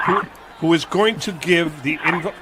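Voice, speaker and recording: A man hosting comic live parade commentary on radio in an excitable, repetitive, playful manner. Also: on the high side, normal speed. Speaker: radio show host. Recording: noisy live radio broadcast.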